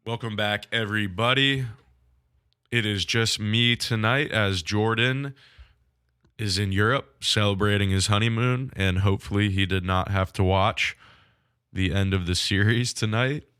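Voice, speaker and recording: A man hosting a sports podcast on his own, sounding nasal from a cold, talking through a game in a frank, measured way. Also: fairly low pitch, fairly even intonation, a bit bright, clear, resonant tone, no echo, studio quality. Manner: frank, measured hockey analysis. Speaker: podcast host. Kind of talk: solo sports podcast recap.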